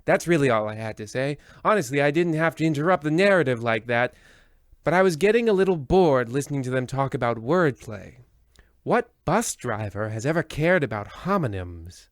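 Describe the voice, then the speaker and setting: A man's voice: mid-range voice, expressive, moderate pace, no room sound, studio quality, lightly acted. A man playing a florid, easily sidetracked narrator in a mock old-time radio horror comedy. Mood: bored, digressing. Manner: theatrical, florid, self-deprecating. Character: theatrical, digressing male horror-show narrator.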